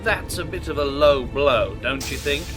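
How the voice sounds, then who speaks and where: A man's voice: on the high side, lively intonation, moderate pace, music in the background, natural voice. Man playing a lilting, scheming starship officer. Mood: sly, persuasive. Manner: arch, insinuating, polite. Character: smooth-talking, scheming male disgraced officer, slyly persuasive. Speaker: starship officer, former captain. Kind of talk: science fiction audio drama acting.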